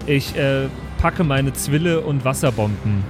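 A man's voice: medium pitch, animated, unhurried, over music, close to an everyday voice. A man playing a cheeky young woman who talks back, in an improvised tabletop audio adventure. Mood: eager.

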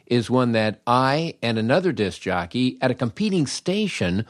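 A man's voice: mid-range voice, sing-song, normal speed, no echo, studio quality. An older American man hosting a podcast and telling comic anecdotes in a wry, understated way. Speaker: podcast host.

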